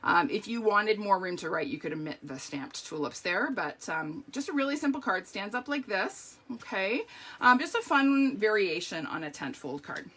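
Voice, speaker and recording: A middle-aged woman with a strained, hoarse voice, chatting through a live card-making tutorial with lots of ums and okays. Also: medium pitch, big pitch swings, normal speed, sharp tone.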